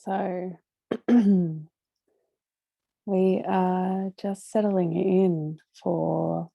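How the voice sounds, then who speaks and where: A woman leading a guided meditation, speaking gently and unhurriedly with long pauses between short phrases. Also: fairly low pitch, level tone, vocal fry, no echo, lo-fi sound. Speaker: meditation guide hosting a live session.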